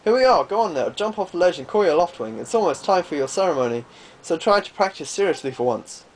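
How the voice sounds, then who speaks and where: A man's voice: sits high, big pitch swings, fast. A man voicing a worried, bossy but caring teenage girl from a video game, earnest and fretful. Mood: scolding, urging. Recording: game music behind.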